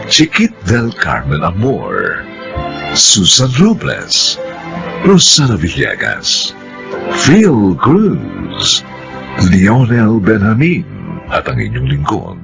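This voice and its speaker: Solemn radio drama announcer guy, slow and deliberate, creaky, music behind. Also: fairly low pitch, huge pitch swings. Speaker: narrator and announcer. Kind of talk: solemn scripted radio narration with credits.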